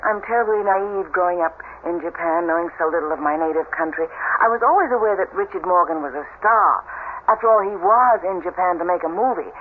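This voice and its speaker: An actress playing a naive, uneasy young bride in a 1970s radio drama, speaking in an earnest, wistful, halting way. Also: female voice, medium pitch, natural voice. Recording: noisy, tinny old radio broadcast recording.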